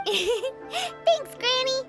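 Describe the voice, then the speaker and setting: Squeaky, tiny, childlike companion. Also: female voice, expressive, very slow, music in the background, heavily acted. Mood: cheerful. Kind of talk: video game voice acting.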